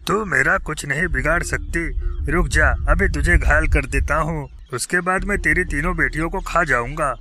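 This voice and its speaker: A man voicing a sharp, menacing hungry lion. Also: a little high, normal speed, faint music behind. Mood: threatening. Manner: boastful, threatening. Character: menacing hungry male lion, boastful predator.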